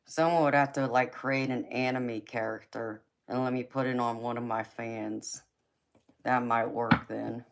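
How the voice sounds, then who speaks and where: A woman's voice: very deep voice, fairly even intonation, measured pace, noisy background. A young woman with a Southern accent, hosting a casual livestream, chatting with viewers, laughing often and answering their comments. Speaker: tarot reader and livestream host.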